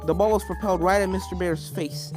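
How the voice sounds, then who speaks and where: A woman with a somewhat low voice narrates a scripted fan fiction story. Video game music plays clearly behind her reading. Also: steady tone, fairly quick.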